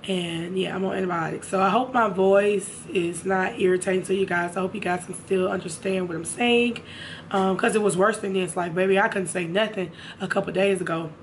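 A young woman with a raspy, hoarse voice, chatting casually to her viewers about makeup in a room at home. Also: mid-range voice, steady tone, fairly quick, a little hiss or hum. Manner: chatty, addresses viewers directly. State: hoarse from a sinus infection. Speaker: beauty vlogger. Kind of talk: casual makeup haul chat.

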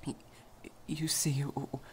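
A man playing a shy, lovestruck young male vampire in an ASMR romance roleplay, stammering nervously in a soft, breathy, often whispered voice. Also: mid-range voice, little pitch movement, a little slow, slight background noise, lightly acted. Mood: hesitant, shy. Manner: heavily stammering, hesitant, apologetic.

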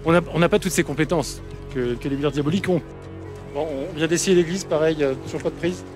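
Young man, higher-than-average voice, casual vlogging outdoors, action camera, music in background. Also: quick pace. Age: young adult. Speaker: vlogger on an electric unicycle trip. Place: outdoors, village streets and forest trails. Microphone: action camera at arm's length.

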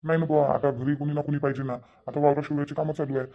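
A man's voice: on the high side, little pitch movement, very fast, muffled recording, natural voice. A man voicing a plain, hardworking farmer grandfather in a cartoon, with a Vidarbha Marathi accent. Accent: Vidarbha Marathi (Varhadi). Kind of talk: cartoon family drama dialogue.

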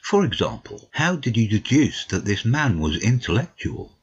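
A man playing a modest, polite gentleman narrator, the detective's puzzled friend, in a dramatic audiobook on a dull recording. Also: lowish voice, big pitch swings, normal speed, husky, full character voice. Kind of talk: dramatic audiobook reading, storytelling.

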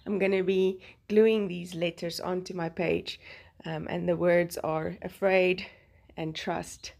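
A woman's voice: fairly low pitch, little pitch movement, slow pace, gravelly, a little mellow. Chatty South African woman, laughing often. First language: Afrikaans. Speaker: Bible journaling channel host. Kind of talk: voice-over narrating a craft process.

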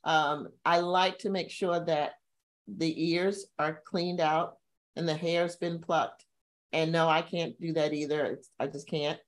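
Casting note: middle-aged woman, lowish voice, lively storyteller who jumps up high for emphasis, webcam from a home room. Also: measured pace, poor audio. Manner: animated storytelling with sudden high-pitched emphasis. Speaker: co-host. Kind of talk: casual video-call chat. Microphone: video call webcam.

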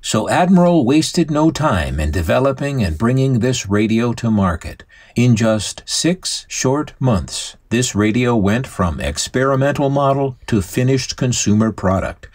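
A man's voice: medium pitch, expressive, measured pace, hardly any pauses, a little mellow. A man hosting and co-narrating in a scripted but conversational documentary style. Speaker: host and co-narrator.

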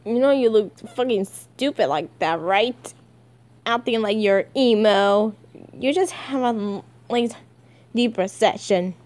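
A woman voicing a sullen, menacing young male comic villain in a sardonic, lowered voice. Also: slow pace, darkish tone, close to an everyday voice. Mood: mocking.

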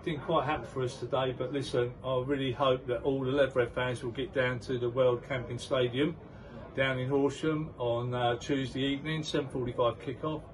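A man's voice: mid-range voice, level tone, normal speed. An older man interviewing a player after a match, chatty and promotional, outdoors at a football ground. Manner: chatty, promotional, leading questions. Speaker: club interviewer. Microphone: handheld mic, camera at a distance. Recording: distant mic with crowd noise.

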